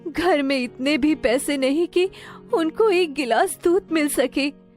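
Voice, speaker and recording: A woman's voice: sits high, slow, darkish tone, faint music behind, voice pushed up. A woman voicing a weary, reproachful poor farmer's wife in a storybook tale, complaining plaintively.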